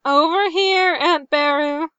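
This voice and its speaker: A headstrong young storybook boy, voiced by a woman reader, sulky and grumbling. He drags his words out in a whiny, raised pitch. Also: slow pace, pushed and bright, dry recording, lo-fi sound, full character voice. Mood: sullen. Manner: grumbling, sullen, whining.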